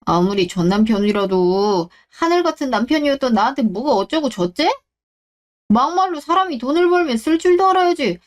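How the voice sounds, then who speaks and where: A woman's voice: sits high, animated, a little fast. Woman voicing a sneering arrogant ex-husband. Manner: sneering, entitled, blaming. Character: arrogant, shameless middle-aged male ex-husband. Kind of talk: Korean radio drama reading.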